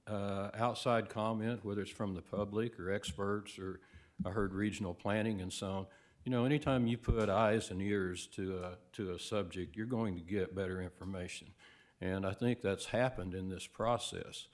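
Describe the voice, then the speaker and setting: A hoarse man with a cold, giving a measured, halting public comment at a county meeting. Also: fairly low pitch, fairly even intonation. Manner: measured, halting, many fillers.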